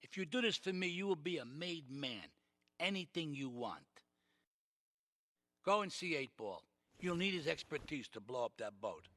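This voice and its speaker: A man's voice: on the high side, animated, normal speed, fairly bright tone, no room sound. A man playing a calculating, commanding middle-aged mafia boss giving orders in a video game cutscene. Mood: calculating, persuasive.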